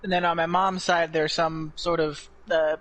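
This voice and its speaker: Laid-back man with a higher voice. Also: flat delivery, moderate pace, a bit bright. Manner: laid-back, hesitant, many fillers. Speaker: podcast co-host reading chat questions. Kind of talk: casual nerdy chat.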